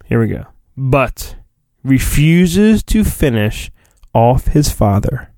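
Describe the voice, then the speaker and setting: A man's voice: medium pitch, very animated, slow pace, mellow, dry recording. A man hosting a podcast, giving a mock-dramatic reading of a children's storybook and breaking off into cheeky, joking asides. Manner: theatrical, juvenile innuendo, breaks off into asides. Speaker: podcast host.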